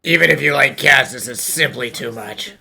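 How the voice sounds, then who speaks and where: Guy doing a dry sidekick, creaky and sharp bright voice, sceptical, cartoon game dub. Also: medium pitch, moderate pace, full character voice. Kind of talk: fan dub game voice acting.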